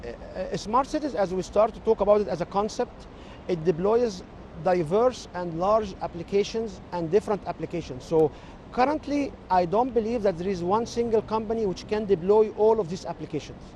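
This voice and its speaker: A man with an Egyptian accent and native Arabic, giving measured, explanatory interview answers as a technology expert. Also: sits high, a little mellow, a little hiss or hum.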